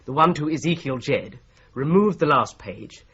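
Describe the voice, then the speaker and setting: An actor playing a methodical solicitor-detective who patiently lays out a fraud theory, in a radio drama. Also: male voice, mid-range voice, expressive, moderate pace, fairly bright tone, husky. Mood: explaining. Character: Boone, shrewd young male solicitor-detective, patient explainer. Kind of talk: BBC-style radio drama acting. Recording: dull lo-fi radio play recording.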